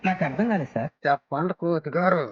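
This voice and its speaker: A man, playing a naive villager in a scripted phone comedy. He rambles and breaks into sudden loud outbursts. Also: on the high side, quick pace, lo-fi sound, heavy background noise.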